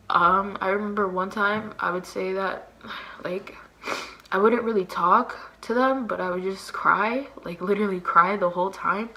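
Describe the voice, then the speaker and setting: A woman's voice: mid-range voice, a bit bright. Young woman, slangy confessional vlog. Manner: casual and slangy, with many fillers. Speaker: vlogger. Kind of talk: candid confessional chat to camera.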